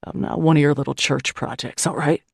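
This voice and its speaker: A surly, worn-out man growls and barks in a scratchy, hoarse voice, as a woman narrator puts him on. The voice sits very low with gravelly fry and some huskiness. The recording is dry and close. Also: moderate pace, dry recording, exaggerated voice. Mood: angry. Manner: barking, growling retorts. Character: gruff exhausted man, surly and snarling. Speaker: audiobook narrator.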